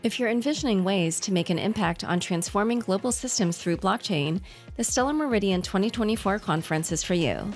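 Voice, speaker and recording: Female announcer, mid-range pitch, scripted ad read with light music bed. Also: animated, a little fast.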